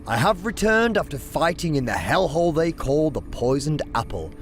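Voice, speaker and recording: Man playing a boastful, higher-pitched warrior son. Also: sing-song, normal speed, soft background music. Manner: grand, boastful. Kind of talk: audio drama acting.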